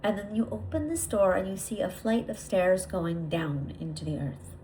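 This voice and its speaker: Woman, guided meditation leader, medium pitch, deliberate delivery, repeated phrases, lingering pauses, faint background ambience. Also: dark tone, slight background noise, poor audio. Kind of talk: guided visualization, spoken slowly to the listener.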